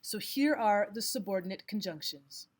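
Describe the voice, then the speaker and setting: A woman teacher giving scripted instructional narration, explaining and reading examples out deliberately. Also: mid-range voice, expressive. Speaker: language arts teacher.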